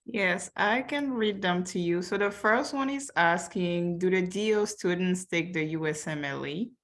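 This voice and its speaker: A woman from Cameroon in her twenties with a low-pitched voice moderates a webinar. She reads out audience questions and chimes in chattily with her own experience as a medical student. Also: measured pace. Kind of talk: reading questions from chat.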